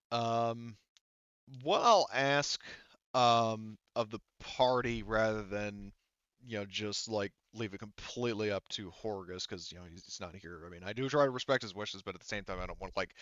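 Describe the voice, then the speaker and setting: A man working as game master, narrating a tabletop game in a casual, halting, conversational way. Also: fairly low pitch, fairly bright tone, smooth, no room sound. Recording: slightly dull close dry mic.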